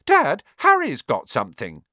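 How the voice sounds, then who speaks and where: A spoilt, whiny little boy from a storybook, put on by a male reader. He is loud and demanding, with a voice pushed well up high. The sound is dry. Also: wildly swooping pitch, measured pace, dry recording, full character voice. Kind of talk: acted audiobook dialogue.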